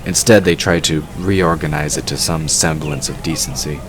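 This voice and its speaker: Narrator: male, very deep bass voice, scripted fantasy storytelling, noticeable background noise. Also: normal speed. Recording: clearly noisy background. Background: ambient sound effects.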